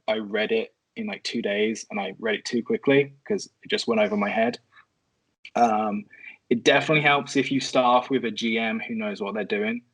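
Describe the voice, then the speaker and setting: A man's voice: medium pitch, fairly bright tone, low-quality recording. A man speaking as a guest in a casual podcast discussion.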